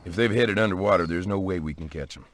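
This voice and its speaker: A man's voice: deep voice, fast, slight background noise, close to an everyday voice. A man playing a level-headed young country man. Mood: serious.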